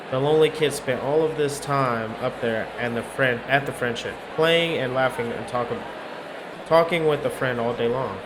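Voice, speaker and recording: Wry male narrator over clearly noisy background. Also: medium pitch. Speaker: game player reading narration aloud. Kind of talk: first-person story narration of a visual novel. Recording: noisy urban ambience behind.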